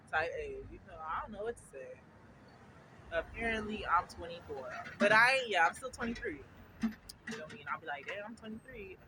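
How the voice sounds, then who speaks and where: A woman in her twenties chatting casually to camera while waiting at a bus stop, constantly asking "you feel me" and often cracking up with laughter. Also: lowish voice. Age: 20s. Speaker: vlogger. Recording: outdoor street traffic noise.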